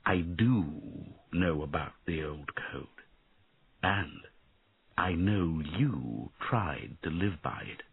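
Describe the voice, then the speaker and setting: Man as a low, quiet, melancholy dragon. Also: lively intonation, takes it slowly, husky, phone-quality sound, heavily acted. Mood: soothing. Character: gentle, sad, thoughtful old-code male dragon.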